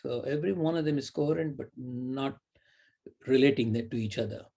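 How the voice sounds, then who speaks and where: A man's voice: mid-range voice, brisk, creaky, warm tone, breathy edge. A middle-aged man giving a recorded university lecture, thinking aloud with fillers and frequent "right?" tags.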